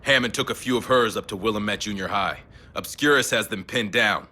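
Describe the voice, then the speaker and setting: A man's voice: lowish voice, fairly quick, bright, forceful tone. An actor playing a stern, urgent raider henchman in a video game, terse and full of warning. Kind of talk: video game cutscene acting.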